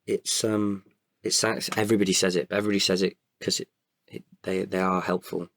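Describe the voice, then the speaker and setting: A man with a very low voice full of gravelly creak. He speaks as an interview guest, candid and hesitant, feeling his way through each answer and doubling back on words. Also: level tone, fairly bright tone, a little hiss or hum. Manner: hesitant, halting delivery with repeated words. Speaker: podcast guest, writer and performer.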